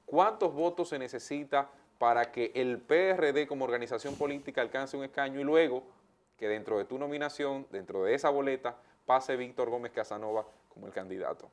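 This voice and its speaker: A man's voice: mid-range voice, fairly quick. Male interviewer, Dominican accent, mid-range voice, explanatory style that builds up to political questions. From Dominican Republic. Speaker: interviewer, show panelist.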